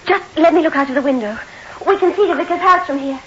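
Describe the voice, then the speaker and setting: Anxious, high-voiced lady. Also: quick pace, fairly bright tone. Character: anxious, sympathetic, superstition-wary woman. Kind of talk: old-time radio drama acting. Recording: hissy, muffled 1960s radio recording.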